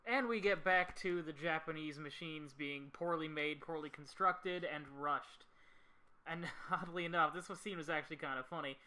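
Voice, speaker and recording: Bright-voiced man, fairly high pitch. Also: moderate pace, low-quality recording. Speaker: video host commentator. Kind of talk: casual commentary on an old film.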